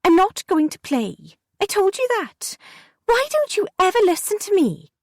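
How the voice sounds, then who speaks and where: A woman's voice: very high-pitched, big pitch swings, moderate pace, no echo, studio quality, voice pushed up, full character voice. A sulky, defiant young girl, as a woman puts her on. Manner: peevish, sulky, argumentative.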